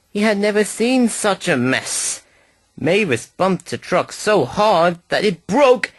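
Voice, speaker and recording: Male narrator, children's storytelling, unhurried, expressive intonation, dry recording. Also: on the high side, dry recording.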